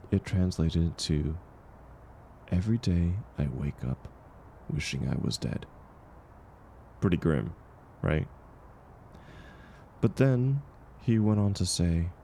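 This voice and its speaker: A man playing a kind, world-weary drifter in an audio roleplay, talking gently and unhurriedly to encourage a stranger. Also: sits low, creaky, a little hiss or hum, full character voice. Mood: reflective, somber. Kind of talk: intimate comfort audio roleplay.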